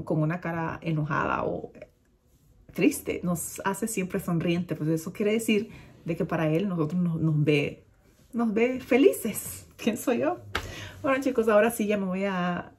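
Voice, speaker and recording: A woman's voice: lowish voice, darkish tone, husky. A woman in her thirties from Nicaragua with a mild Nicaraguan Spanish accent, chatting to the camera from her bedroom, filling her talk with fillers and restarts. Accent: Nicaraguan Spanish, fairly neutral. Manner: chatty, gestures a lot, many fillers and restarts. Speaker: vlogger. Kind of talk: casual chat to camera. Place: bedroom at home, indoors. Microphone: camera at desk distance.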